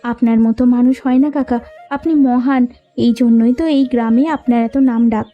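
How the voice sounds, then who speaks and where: A woman's voice: fairly high pitch, steady tone, normal speed, lo-fi sound, soft background music, lightly acted. An actress playing a polite, humble young village woman in a cartoon story. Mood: deferential. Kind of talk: Bengali cartoon story dialogue.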